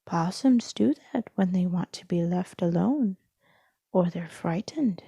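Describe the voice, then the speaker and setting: A woman's voice: on the low side, animated, a little slow, creaky, warm tone, breathy edge, dry recording, studio quality, exaggerated voice. A woman voicing a wise male owl in a storybook, explaining things in a knowing, matter-of-fact manner.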